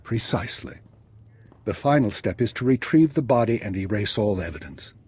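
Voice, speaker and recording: An actor playing an older, retired spymaster in a video game, composed and dry, with measured formal phrasing. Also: male voice, lowish voice, sing-song, a little mellow, husky. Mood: brisk, calculating.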